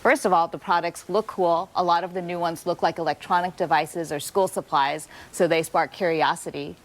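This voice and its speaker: Calm, lower-voiced woman doctor explaining. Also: moderate pace, low-quality recording. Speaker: doctor, expert guest. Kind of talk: expert interview.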